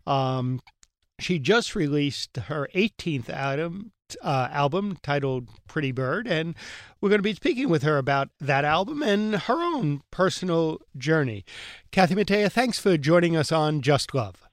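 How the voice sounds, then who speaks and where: A man's voice: on the high side, big pitch swings, unhurried, no echo, clean studio sound. A middle-aged man from New York who hosts a radio talk show as a priest, chatting enthusiastically in a loose, rambling way. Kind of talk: casual radio interview.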